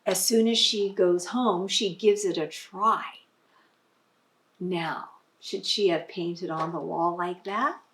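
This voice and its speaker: A woman's voice: lowish voice, fairly even intonation, slow, a bit bright. A woman reading a picture book aloud to young children, teacher-style, with explanatory asides and prompting questions. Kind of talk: expressive storybook read-aloud with asides to children.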